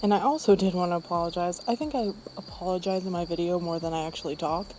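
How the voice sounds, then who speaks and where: An unwell woman with throat trouble giving a casual makeup tutorial voice-over in a low-energy, subdued manner. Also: fast talker, a little mellow, a little hiss or hum, poor audio. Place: voice-over recorded apart from the footage.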